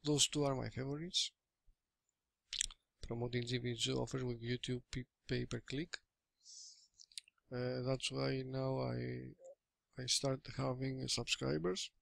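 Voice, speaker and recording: A man's voice: medium pitch, little pitch movement, creaky, a bit bright, no room sound. A Greek man in his thirties, speaking English as a second language with a Greek accent, giving a hesitant, casual screen-recorded walkthrough from a small room on a webcam mic. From Greece. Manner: hesitant, halting English with many fillers and restarts. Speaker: affiliate marketer giving a product review. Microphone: webcam or laptop mic.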